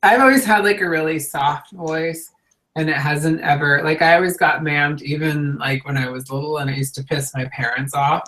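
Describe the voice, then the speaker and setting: A woman's voice: low voice, fairly even intonation, normal speed, fairly bright tone. A woman co-hosting a casual video-call chat, telling stories in a chatty way and laughing often. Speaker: co-host.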